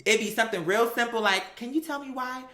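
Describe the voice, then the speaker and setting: A woman telling a personal story in an animated, sassy, emphatic way, with slangy, profane language and acted-out dialogue. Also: a little high, fast, reverberant, very noisy. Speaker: storyteller recounting a personal experience.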